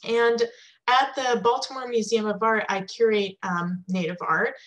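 A young adult woman, a curator and design teacher, introducing herself on a webinar in a clear, composed, prepared way over a video call. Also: on the high side, fairly even intonation, unhurried, a bit bright, dull audio. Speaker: assistant curator and design faculty.

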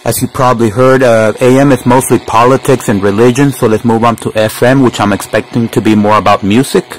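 A man's voice: mid-range voice, steady tone, fairly quick, poor audio. A man casually narrating a gadget demonstration as a reviewer, unhurriedly explaining each step aloud. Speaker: product reviewer. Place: indoors at home. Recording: faint background noise.

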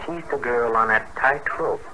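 A man's voice: mid-range voice, a little slow, bright, forceful tone. A man narrating in rhyme as host of an old-time radio thriller. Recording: noisy vintage radio broadcast recording.

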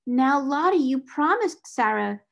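Woman playing a motherly schoolgirl, storybook heroine, raised pitch, steady, firm, spirited. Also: moderate pace. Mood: coaxing.

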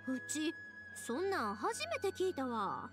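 A woman voicing a cheerful, warm-hearted young woman in an anime game, with a Kansai accent and a bubbly, expressive delivery. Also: high voice, a little slow, music in the background. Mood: cheerful, curious. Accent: Kansai Japanese.

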